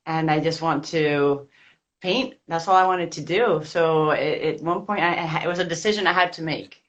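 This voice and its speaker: A woman's voice: low voice, a bit bright. A woman from Spain, an artist, chatting casually in an interview over a video call and laughing often. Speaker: artist guest. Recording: dull lo-fi call audio.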